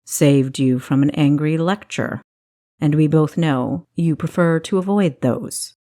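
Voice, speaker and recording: A woman's voice: low voice, a little slow, mellow. A woman voicing a stern, dry-witted male dungeon keeper in a fantasy story, commanding in manner. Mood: dry, teasing.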